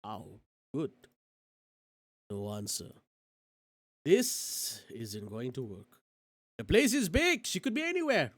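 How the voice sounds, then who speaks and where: A woman streamer reads a visual novel aloud. Her pitch swings in a lively, theatrical way between narration and casual asides, close on a dry mic. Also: slow pace, pauses often, no room sound. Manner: theatrical reading with exclamations and asides. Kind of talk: live game reading with casual commentary.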